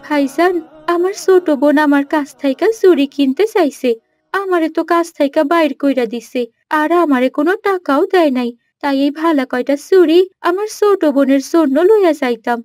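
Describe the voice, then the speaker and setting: A woman's voice: sits high, brisk, darkish tone, dry recording, studio quality, natural voice. A woman voicing a poor, dutiful village girl in a cartoon, plaintive and humble, with a rural Bangladeshi accent. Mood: humble pleading.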